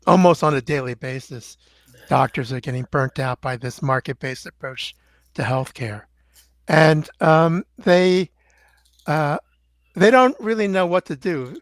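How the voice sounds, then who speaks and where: An older man, a doctor guest, answering in a measured, halting way with many fillers. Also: on the high side, dry recording. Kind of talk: interview answers.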